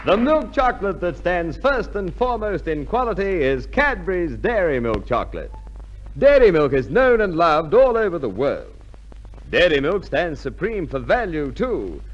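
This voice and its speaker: High, animated radio announcer. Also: male voice, moderate pace. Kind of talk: 1950s radio advertising announcement. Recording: dull 1950s radio recording.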